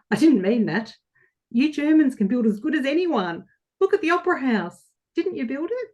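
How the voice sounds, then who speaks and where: A woman's voice: sits high, big pitch swings, measured pace, darkish tone. A woman playing a chatty, unbothered receptionist in a play reading, colloquial and matter-of-fact. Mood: chatty, indifferent. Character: chatty, casual, unbothered Australian female receptionist.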